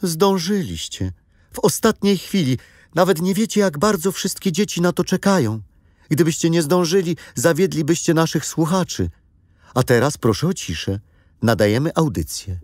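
A man's voice: fairly high pitch, lively intonation, moderate pace, darkish tone, no echo, clean studio sound. An actor playing a gallant man of prewar times in a children's radio play, speaking in a courtly, declamatory way. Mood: hearty. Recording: music behind.

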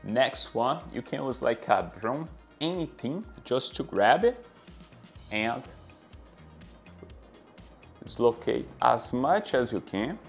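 A man's voice: medium pitch, big pitch swings, slow, gravelly, pauses often, soft background music. Brazilian-accented man coaching posture exercises. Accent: Brazilian Portuguese. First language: Portuguese. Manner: coaching, counts reps aloud, non-native grammar. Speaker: fitness trainer. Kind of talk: exercise instruction to camera. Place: bright indoor room with anatomy posters. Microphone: clip-on lapel mic.

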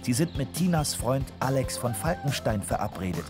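Male narrator, animated mid-pitched voice, expressive storytelling, children's radio play, music behind. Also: moderate pace. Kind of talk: scripted children's audio drama narration.

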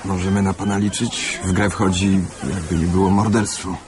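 Radio drama: man as polite young-ranking police investigator, low pitch, concise probing questions, clearly noisy setting. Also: flat delivery, normal speed, natural voice.

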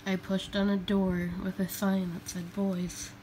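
A woman reading a children's picture book aloud in a deliberate way, phrase by phrase. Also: medium pitch, flat delivery, mellow, poor audio. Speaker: storybook reader. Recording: faint background noise, home recording.

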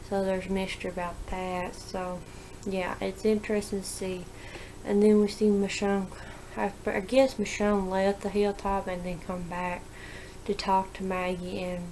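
A young woman chats into a webcam from a small bedroom, her voice flat and even in pitch at a middle height. She rambles along, stopping and restarting her sentences. A faint hiss sits under her voice. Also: rough recording. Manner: rambling, halting, frequent restarts and fillers. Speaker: vlogger. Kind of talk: casual webcam vlog, TV episode predictions. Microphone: webcam at desk.

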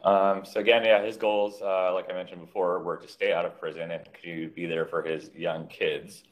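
A middle-aged man, a physician, presenting a clinical case on a video call in a measured, slightly hesitant way. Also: low-pitched, level tone. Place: indoor room on a video call.

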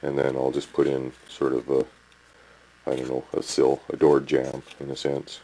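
A man's voice: a bass voice, completely flat delivery, moderate pace, dark tone, rough recording. A man, rambling through a casual home workshop tip and checking in with the viewer, recorded on a handheld camcorder. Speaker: hobby model builder. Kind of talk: casual home video tutorial. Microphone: camcorder mic, handheld.

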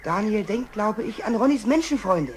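An actor playing an eager, kindly young lion cub, earnest and cheerfully bossy, in a cartoon dub. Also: male voice, high voice, lively intonation, moderate pace, a little hiss or hum. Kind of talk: German-dubbed cartoon dialogue.

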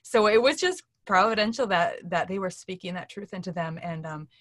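A woman's voice: on the low side, brisk, a bit bright, no echo, studio quality. Earnest middle-aged woman telling a story. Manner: earnest, acts out quoted speech while telling stories. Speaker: interview guest. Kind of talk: animated storytelling in a casual interview. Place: indoor room, seated on a couch on a video call.

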